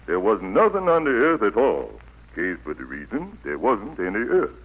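A husky, low-voiced old Southern preacher. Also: male voice, huge pitch swings, moderate pace, narrow, tinny audio, full character voice. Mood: folksy, patient. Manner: folksy, patient storyteller. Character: kindly elderly Southern preacher teaching Sunday school. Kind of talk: 1940s radio acting. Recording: old 1940s radio broadcast, music behind.

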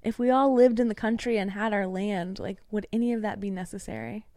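A woman's voice: mid-range voice, animated, fairly quick, warm tone, no echo, clean studio sound. A young woman from East Tennessee, a podcast guest, ranting heatedly and swearing freely in casual conversation. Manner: heated, profanity-laced rants.

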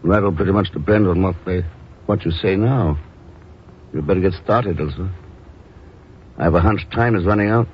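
An actor playing a cool, urbane secret agent with dry, understated delivery in a 1950s radio drama. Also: male voice, sits low, moderate pace, pauses often, breathy edge. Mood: urgent, controlled. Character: cool, wry male secret agent on a mission. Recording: dull, noisy old radio recording.

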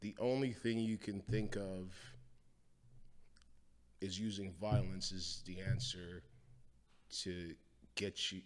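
A man's voice: sits low, fairly even intonation, slow, long pauses, long reverb tail. A young man from Guyana, a guest in a casual interview, talking bluntly with lots of profanity and street slang. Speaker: guest, wildlife photographer.

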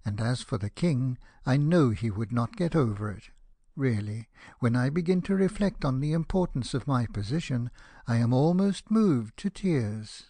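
A man plays a vain storybook firework rocket who takes offence at everything. He declaims with pompous indignation and wounded self-pity. The tone is mellow and dark, in a dry recording. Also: mid-range voice, expressive, moderate pace, vocal fry, no echo. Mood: self-important. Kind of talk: dramatized storybook reading.

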